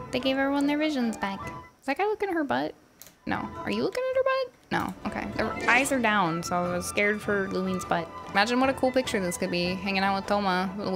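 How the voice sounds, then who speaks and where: A woman streaming a game with casual, playful, animated commentary, exclaiming and teasing as she goes. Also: mid-range voice, gravelly. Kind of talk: casual live gameplay commentary. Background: game music.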